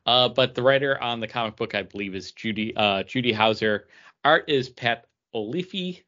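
A man co-hosting a comic book podcast in casual chat, with halting, stop-and-start phrasing. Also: mid-range voice, bright, forceful tone, highs cut off. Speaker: podcast co-host.

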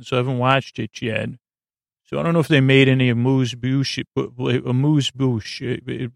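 A man hosting a sleep podcast, rambling in a soothing, creaky drawl that wanders off topic and interrupts itself with wry asides. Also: medium pitch, no echo, studio quality. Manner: meandering, self-interrupting, wry. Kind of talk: rambling late-night monologue.

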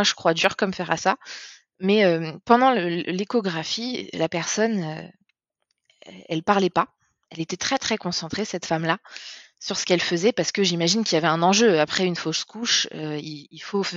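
A woman telling a personal story in conversation, speaking candidly with many halting restarts. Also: fairly low pitch, a bit bright, no echo. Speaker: guest. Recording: slightly dull, lo-fi sound.

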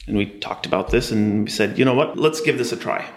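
Measured man explaining his business. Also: on the low side. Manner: measured, explanatory, light laughs. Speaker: startup co-founder, guest. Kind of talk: interview answers. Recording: echoey room.

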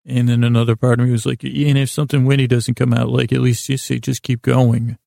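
A man hosting a sleep podcast in a creaky voice, meandering haltingly in lulling, soothing tones, repeating himself and trailing off. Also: medium pitch, level tone, mellow, no echo, studio quality. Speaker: podcast host. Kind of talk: rambling sleep-podcast monologue.

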